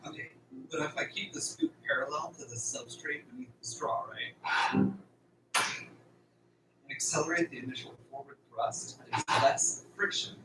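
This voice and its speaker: Radio play: man as a casual, joking young farm worker, slightly high voice, some way from the mic. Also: very animated, normal speed, pauses often. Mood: mock-scholarly. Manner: casual, joking, mock-scholarly at times. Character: chatty, easygoing, joking young male farmhand. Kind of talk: amateur radio drama acting. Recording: roomy, distant, clear background noise.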